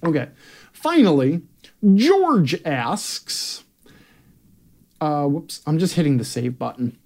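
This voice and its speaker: A man's voice: fairly high pitch, sing-song, slow, gravelly, darkish tone. A man reading his own opinion column aloud as a scripted comic rant, brash and sarcastic, with theatrical emphasis and plenty of swearing. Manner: brash, profane.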